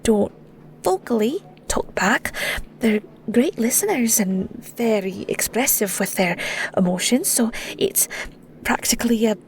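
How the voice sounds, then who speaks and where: A woman with a Scottish accent playing a shy, flustered young werewolf shepherd in an intimate audio roleplay, stammering awkwardly in a soft, breathy voice. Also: on the high side, expressive, measured pace, slight background noise. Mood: bashful, playful. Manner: stammering, giggly, awkward, hesitant.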